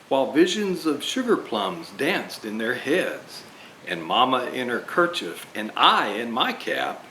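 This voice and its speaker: A man dressed as Santa reading rhyming children's books aloud in a slow, expressive way. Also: medium pitch, slight background noise. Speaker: storyteller playing Santa. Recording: roomy echo.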